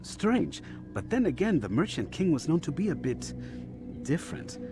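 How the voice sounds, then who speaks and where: A lively, musing informant. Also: male voice, on the high side, normal speed. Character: musing male go-between of an assassin order. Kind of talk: video game cutscene dialogue. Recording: music behind the voice.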